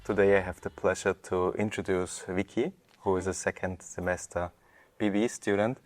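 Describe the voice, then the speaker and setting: A man's voice: low-pitched, little pitch movement, normal speed, no room sound. A young man from Austria, a university student, hosting an interview and asking polite, slightly formal prepared questions. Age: young adult. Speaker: student host.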